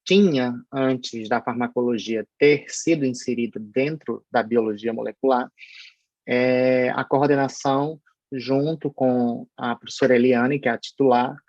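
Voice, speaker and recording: A lecturer from Paraíba with a Northeastern Brazilian accent, giving an online university class in a hesitant, explanatory way with many fillers and restarts. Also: male voice, mid-range voice, steady tone, measured pace, darkish tone, dry recording, muffled recording. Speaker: pharmacology lecturer.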